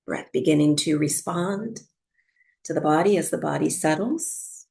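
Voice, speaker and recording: A woman teaching breathwork and guiding a meditation for sleep, speaking softly in a soothing, unhurried way with long pauses. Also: deep voice, gravelly.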